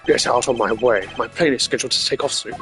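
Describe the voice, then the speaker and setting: A man's voice: mid-range voice, quick pace, a bit bright, soft background music, natural voice. A man voicing an arrogant old ambassador villain in a video game, pompous and condescending. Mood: indignant. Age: older.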